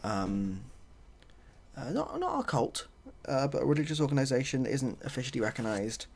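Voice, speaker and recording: A man with a soft, husky voice makes up a story as he goes. He rambles hesitantly, with dry, self-deprecating humour. His pitch sits in the middle for a man. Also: very animated, normal speed. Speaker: ASMR video host. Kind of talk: soft-spoken improvised storytelling.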